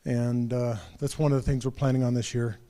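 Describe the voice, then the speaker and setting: A man's voice: mid-range voice, little pitch movement, brisk, dark tone. A man leading an in-person club meeting, making casual, rambling announcements with fillers and restarts. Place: meeting room.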